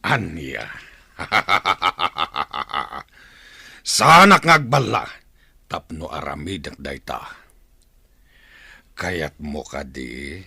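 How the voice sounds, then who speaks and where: A very deep, gravelly villain chief in a radio drama, gloating and threatening. His delivery swings widely and lingers on each taunt. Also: sharp tone, dry recording, clean studio sound, heavily acted. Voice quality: gravelly, raspy. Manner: taunting, drawn-out, with villainous laughter. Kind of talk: radio drama acting in Ilocano.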